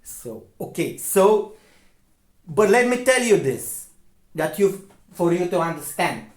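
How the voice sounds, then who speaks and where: A man with a Romanian accent, a native Romanian speaker, giving a lecturing commentary, insistent, often checking "okay?" and pausing on fillers. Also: high voice, very animated, echoey room. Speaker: video commentator.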